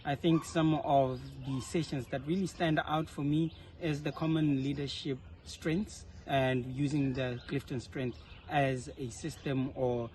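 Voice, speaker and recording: A man's voice: mid-range voice, steady tone, a little slow, hardly any pauses, a little mellow. Young guy answering interview questions, earnest, well spoken, bit of background noise. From South Africa. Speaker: interviewee, leadership fellow. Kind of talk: reflective informal interview answers. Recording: faint background noise, ambient.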